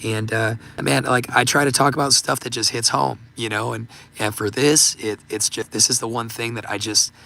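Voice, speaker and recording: A man hosting a livestream, talking casually and haltingly with many fillers, his voice going hoarse and giving out. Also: mid-range voice, flat delivery, pushed and bright. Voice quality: gravelly, voice giving out. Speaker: livestream host. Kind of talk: casual livestream talk reading super chats.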